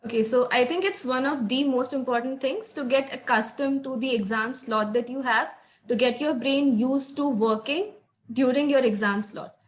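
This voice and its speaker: A woman's voice: high-pitched, moderate pace. A woman in her twenties with an Indian accent, giving conversational advice as a student panelist. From Nashik, India. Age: 20s.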